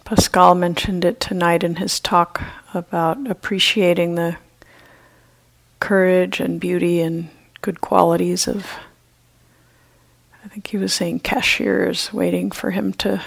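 A woman leading a guided meditation as a teacher, speaking gently and unhurriedly with long pauses. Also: lowish voice, flat delivery, gravelly, husky.

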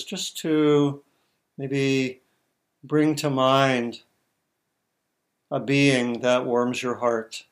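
A man teaching meditation, giving gentle guided instructions in halting, spaced-out short phrases. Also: medium pitch.

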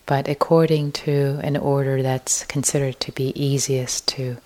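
A woman meditation teacher leading a guided meditation in a gentle, hushed way, leaving long pauses. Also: extremely low pitch, flat delivery.

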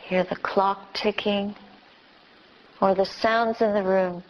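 Slow-speaking woman guiding meditation. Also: medium pitch, steady tone, a little hiss or hum. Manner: gentle instruction in short, spaced phrases. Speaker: meditation teacher. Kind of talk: guided meditation. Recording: dull, lo-fi recording.

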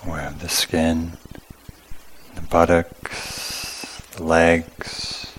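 A man teaching a guided meditation in a calm, measured manner, speaking in short phrases with long pauses. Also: low voice, slight background noise, rough recording. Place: meditation retreat.

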